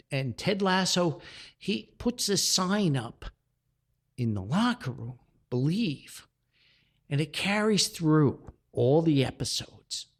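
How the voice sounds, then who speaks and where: A man talks as a podcast host. His delivery is highly animated, with a medium pitch that moves freely. He tells reflective stories in a folksy, sentimental, earnest manner. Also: vocal fry. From Paterson, New Jersey, United States.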